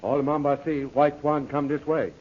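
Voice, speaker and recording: An actor playing an obedient native servant who reports in short, submissive pidgin English in a 1930s radio serial. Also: male voice, a little high, moderate pace, breathy edge. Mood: urgent. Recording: dull, noisy old radio recording.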